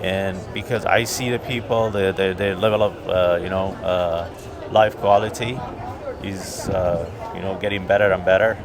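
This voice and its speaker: A man from Iran answering a street interview, thoughtful and hesitant, pausing often with fillers. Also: sits low, fairly even intonation. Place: busy city street outdoors. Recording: busy street crowd behind.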